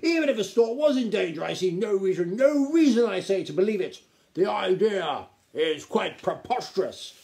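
A man's voice: high-pitched, slow pace, gravelly, few pauses, a little mellow, pitched above the performer's own voice, heavily acted. A man voicing a pompous, irascible gnome duke in a storybook, blustering and scornful with emphatic delivery. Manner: blustering, emphatic, repeating himself.

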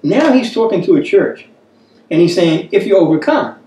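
High-pitched, animated man teaching the Bible. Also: normal speed, slight background noise. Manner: earnest, exhorting, repeats phrases for emphasis. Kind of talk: sermon-like Bible study teaching. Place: small room at a wooden table, indoors. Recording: echoey room, distant microphone.

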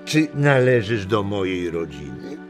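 An actor playing a warm, stubborn old grandfather in a children's audio drama, theatrical, full of sighs and exclamations. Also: lowish voice, sing-song, a little slow, over music. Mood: stern, rousing. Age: older.

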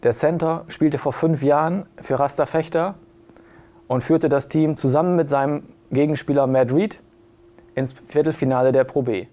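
Mellow thirties male sports reporter. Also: fairly high pitch, steady tone, moderate pace. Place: small newspaper office, indoors.

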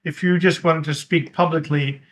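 Male board commissioner, slightly high voice, polite and firm. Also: fairly even intonation, moderate pace. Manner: polite but firm, cutting off off-topic comment.

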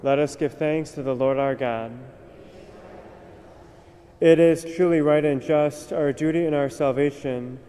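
A young man, a priest, reciting the prayers of Mass at the altar in a measured, solemn way. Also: on the high side, fairly even intonation, warm tone. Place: large cathedral, at the altar. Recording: long cathedral reverb.

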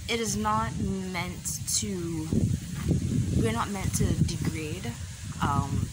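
A young woman with a Barbadian accent chatting casually to a phone camera outdoors, relaxed and rambling, with many pauses and fillers. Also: low-pitched. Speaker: vlogger. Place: outdoors in a rural yard. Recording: loud outdoor noise behind.

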